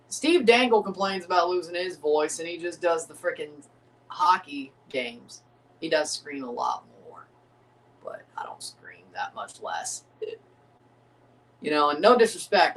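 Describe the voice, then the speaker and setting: A man hosting a livestream watchalong, chatting casually and excitably, with a voice gone a little scratchy from overuse. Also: on the high side, unhurried, distant mic. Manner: rambling, excitable, repeats himself. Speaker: streamer hosting a match watchalong.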